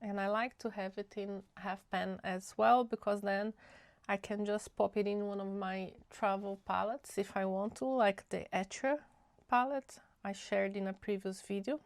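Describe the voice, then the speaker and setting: A woman hosting a casual watercolor tutorial, a bit nasal from a cold, talking in an unhurried, chatty way as she thinks aloud. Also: mid-range voice, gravelly, a little mellow, no echo. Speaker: art channel host.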